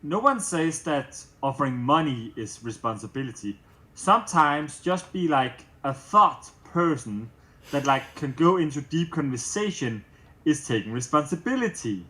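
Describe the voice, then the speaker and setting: A man in a casual group chat, talking haltingly with many fillers and non-native phrasing. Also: a little high, expressive, pushed and bright, poor audio.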